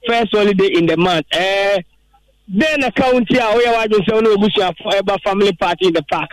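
A man's voice: sits high, fairly quick, poor audio. A Ghanaian man presenting live radio at an outdoor family event, chatting and asking questions in an upbeat way.

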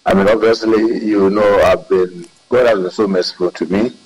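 A middle-aged man, a member of parliament speaking emphatically and slowly, hoarse from losing his voice. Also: on the low side, fairly even intonation, a little mellow, low-quality recording. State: hoarse, lost voice.

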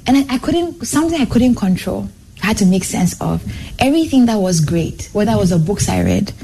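A young woman speaks earnestly as a talk show guest, animated and quick to pile one phrase on another. Her voice is mellow and dark, with a faint hiss underneath. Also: mid-range voice, rough recording. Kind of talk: personal storytelling in a talk show.

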